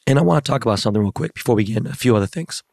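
A hoarse man hosting a podcast in casual chat, talking in slangy, profane, hyped-up street style. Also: mid-range voice, lively intonation, very fast, a little mellow, dry recording, clean studio sound. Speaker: podcast host.

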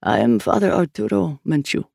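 Calm older priest acted by a narrator, creaky. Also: mid-range voice, no echo, studio quality, full character voice. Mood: calm, courteous. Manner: studiously calm, measured, courteous.

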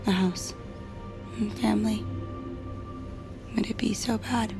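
An actress playing a tender, teasing woman on the run, murmuring softly in an intimate audio drama. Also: mid-range voice, fairly even intonation, takes it slowly, music in the background. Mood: wistful. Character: tender, teasing young woman, fugitive lover of an assassin.

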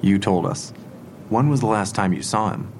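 An actor playing a methodical police investigator in an audio drama, businesslike and measured. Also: male voice, fairly low pitch, a little hiss or hum, close to an everyday voice. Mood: probing.

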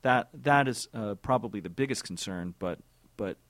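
A man in his forties, an orchestra music director, answering interview questions in a thoughtful, halting way with many repeated words and fillers. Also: on the low side, fairly bright tone, dry recording.

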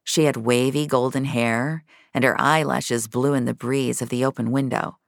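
A woman's voice: rumbling low, fairly even intonation, normal speed, vocal fry, dry recording, clean studio sound. A woman reading scripted audio fiction as the narrator.

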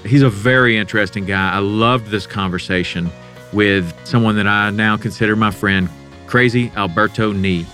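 A man hosting a podcast as a professional angler, talking in a relaxed, conversational way. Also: on the low side, normal speed, soft background music. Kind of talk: podcast intro and interview.

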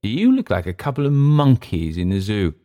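A bitter Englishman gone to seed , acted out by a male audiobook reader. His voice is lifted well above a low narrating register, with a volatile, mocking edge. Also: wildly swooping pitch, moderate pace, warm tone.

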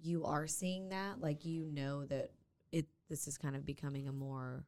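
A woman co-hosts a podcast, chiming in with quick reactions and earnest questions. Her voice is notably low for a woman. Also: steady tone, a little mellow. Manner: earnest, reactive with short interjections. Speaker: podcast co-host. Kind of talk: casual chat.

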